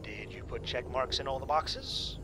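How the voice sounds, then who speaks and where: A performer voicing a calm, patient pilot and mentor in an audio drama, giving clipped, encouraging replies. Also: mid-range voice, normal speed, music in the background, lightly acted. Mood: calm, businesslike.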